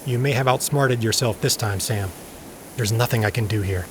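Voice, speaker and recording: An actor playing an earnest scientist leader and needy husband in an audio drama, serious and intense. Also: male voice, fairly low pitch, moderate pace, background noise, lightly acted. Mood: urgent, grim.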